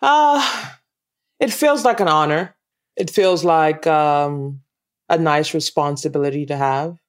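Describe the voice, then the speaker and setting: Nigerian woman, interview guest, smooth resonant tone, thoughtful with frequent ums. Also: low voice, slow pace. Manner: warm, reflective, laughs easily, many ums. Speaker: actress guest. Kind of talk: casual interview chat.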